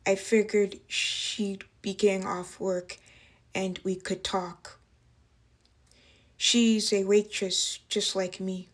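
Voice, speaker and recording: A woman playing a traumatized young waitress in a dramatic monologue, speaking haltingly in broken phrases. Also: medium pitch. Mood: subdued, hesitant. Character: traumatized young working-class waitress recounting an assault. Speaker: actress performing a film monologue.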